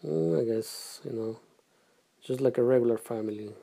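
A middle-aged man from Guatemala, answering questions in a casual family interview, halting and soft-spoken with pauses and restarts. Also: medium pitch, creaky. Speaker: interviewee, father.

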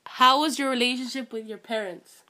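A woman interviews her father about his childhood. Her voice sits somewhat high. She asks short, plain questions one after another. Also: fairly even intonation, normal speed, pushed and bright. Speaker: interviewer, the man's daughter. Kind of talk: casual family interview.

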